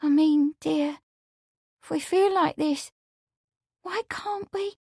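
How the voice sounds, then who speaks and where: Young housemaid, played by a woman narrator: high voice, breathy and pleading, tearful schemer in love, close dry storybook recording. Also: fairly even intonation, slow, no echo.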